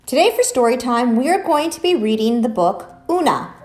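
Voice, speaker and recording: High-pitched, animated woman hosting children's story time. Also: normal speed. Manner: animated, addressing young children as friends. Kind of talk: children's story time, talking and reading aloud to young kids. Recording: roomy echo, faint music.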